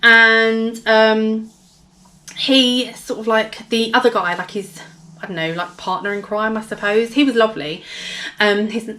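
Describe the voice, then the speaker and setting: A woman with a hoarse, gravelly voice telling a personal story in a casual vlog, rambling with many fillers and restarts. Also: on the high side, fairly even intonation, measured pace, bright, forceful tone, rough recording. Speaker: vlogger.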